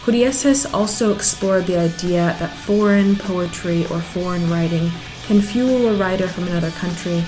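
A woman host reading a scripted introduction in a measured way. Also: on the low side, warm tone, rough recording. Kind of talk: scripted narration. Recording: clear music bed underneath.

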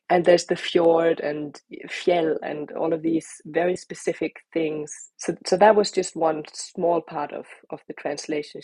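Danish lady author, deep-ish breathy voice, dry sound, thinks out loud n restarts. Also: dry recording, rough recording. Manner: thoughtful, searching for words, restarts. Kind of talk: interview answers.

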